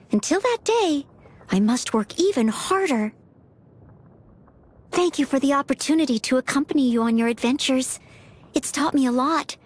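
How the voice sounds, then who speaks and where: A woman voicing a polite, self-effacing young maid and aspiring knight in a video game, eager to please. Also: high-pitched. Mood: humble, grateful. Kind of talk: video game character voice lines.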